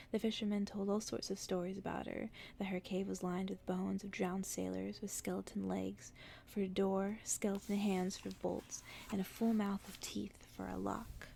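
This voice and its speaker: A woman reading a story aloud in a soft, breathy ASMR whisper, hushed and gentle. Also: medium pitch, slight background noise.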